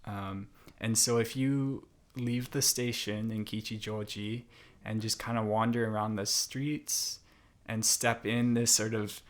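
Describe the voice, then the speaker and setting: A young man as a podcast guest, chatting in a thoughtful, unhurried way with many fillers and restarts. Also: fairly low pitch, a bit bright. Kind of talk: casual interview conversation.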